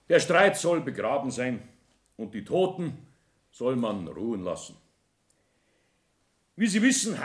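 An actor playing a pompous small-town builder, giving a stiff, formal speech in a radio drama. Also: male voice, on the high side. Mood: solemn. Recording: roomy 1960s radio studio.

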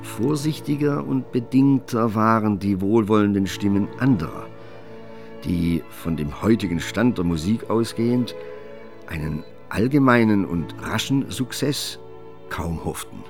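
A man narrating a literary radio drama in a measured, formal manner. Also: fairly low pitch, lively intonation, gravelly, soft background music.

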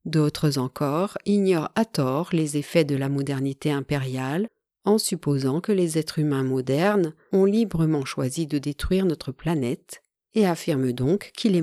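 A woman narrating a nonfiction audiobook in a measured, even reading style. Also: low-pitched, dry recording, studio quality.

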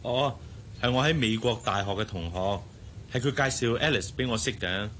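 An actor playing a smitten, insecure boss who talks hesitantly and earnestly in a Hong Kong Cantonese radio drama. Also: male voice, mid-range voice, moderate pace, a bit bright, a little hiss or hum, natural voice. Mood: matter-of-fact. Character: smitten, insecure boss courting his young secretary.

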